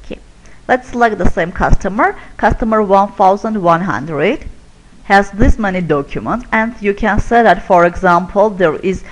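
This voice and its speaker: Lively-pitched woman walking through a software demo. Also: moderate pace, low-quality recording. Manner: steady step-by-step explaining while clicking through screens. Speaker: software product presenter.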